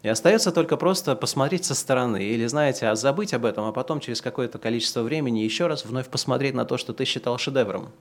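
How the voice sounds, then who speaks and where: Man preaching with slow, swelling emphasis. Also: mid-range voice. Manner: swings from slow, loud emphatic preaching to quieter conversational asides. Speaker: pastor. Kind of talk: sermon.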